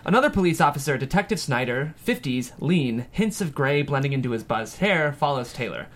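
A man's voice: mid-range voice, very animated, a little fast. A man narrating a screenplay, reading stage directions aloud at a table read.

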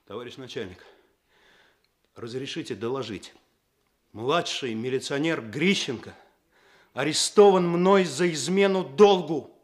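An actor playing an earnest, stern young police officer in a radio play, speaking in an official, clipped manner. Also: male voice, mid-range voice, a little slow, long pauses, natural voice. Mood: dutiful. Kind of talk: Soviet radio play acting.

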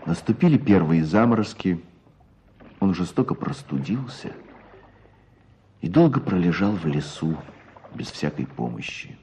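A grave storyteller in a radio drama. His dark, deep voice swings expressively through an unhurried tale. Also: very animated, a little hiss or hum. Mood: grave storytelling. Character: man recounting a fugitive's sad life story, grave.

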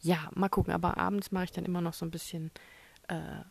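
German writer rambling on alone, kinda gravelly fry, half whispers a lot. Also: mid-range voice, little pitch movement, quick pace, a little hiss or hum. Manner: rambling and musing, often dropping to a whisper. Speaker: novelist. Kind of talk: casual solo monologue.

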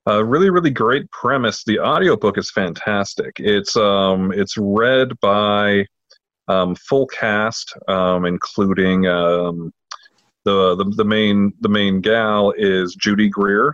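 Male podcast co-host, lowish voice, halting informal explainer, dry muffled lo-fi sound. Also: a bit bright, no room sound. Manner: halting, filler-heavy. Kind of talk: casual chat, informal book recommendation.

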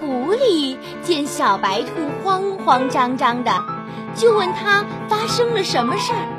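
A woman storyteller narrating a scripted fairy tale for young children in an expressive, drawn-out manner. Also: high voice. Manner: expressive, drawn-out storytelling with gentle sentence particles. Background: children's music.

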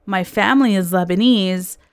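A woman's voice: mid-range voice, normal speed, clean tone, dry recording, studio quality. A woman from Canada, hosting a podcast and telling her own story in an earnest, candid, confessional monologue. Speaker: podcast host. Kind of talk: personal reflective monologue.

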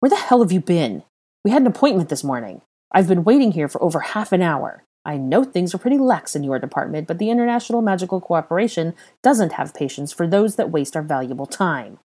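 A woman's voice: fairly low pitch, wildly swooping pitch, normal speed, few pauses, a little mellow. A woman voicing an irate, haughty man with a scornful, impatient, barking manner in an audiobook story. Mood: angry.

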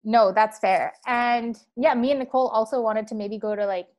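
Higher-voiced woman hosting a chat podcast. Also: normal speed. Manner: friendly interviewer, asks and laughs along. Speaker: podcast host. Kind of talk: casual chat.

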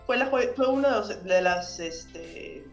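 A Mexican woman editor, a guest in an interview, relaxed and self-deprecating, with a Mexican Spanish accent. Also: mid-range voice, measured pace, roomy sound, faint music behind. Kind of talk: casual interview.

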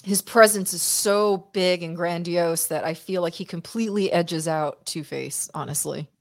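A woman with a slightly low voice gives casual commentary as a podcast co-host. She is blunt and opinionated, talking informally with friends. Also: moderate pace. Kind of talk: casual watch-party commentary.

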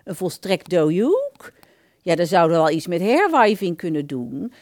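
A woman's voice: lowish voice, wildly swooping pitch, normal speed, a little mellow, no room sound, studio quality. A woman playing a frank, chatty hairdresser in a radio play, with blunt professional patter. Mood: blunt, explaining.

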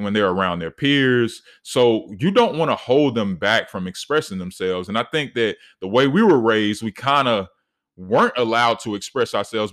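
A man in his thirties with a deep voice, hosting a solo podcast and talking earnestly, with many fillers and restarts. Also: fairly bright tone. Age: 30s. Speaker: podcast host.

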